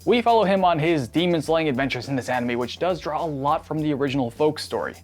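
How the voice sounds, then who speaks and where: Male narrator, native Cantonese speaker, wry and animated delivery with a fairly high, expressive voice. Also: fast talker, faint music behind. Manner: animated, wry and self-deprecating. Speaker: anime video essayist. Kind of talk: scripted video narration.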